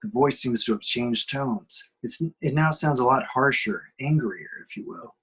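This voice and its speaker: A man's voice: mid-range voice, moderate pace, a bit bright, breathy edge, low-quality recording. A man reading out action directions as narrator at an amateur screenplay table reading. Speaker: reader of stage directions.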